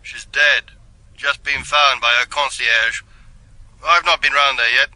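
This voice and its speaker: An actor playing a dour, dutiful police inspector, speaking in a stiff, formal, pointed way in a radio drama. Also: male voice, medium pitch, fairly even intonation, measured pace, pushed and bright, rough recording.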